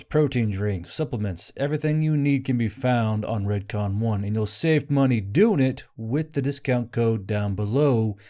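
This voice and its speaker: A man's voice: medium pitch, dark tone. A man hosting a channel, rambling casually through sponsor plugs with informal, self-deprecating asides. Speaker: channel host.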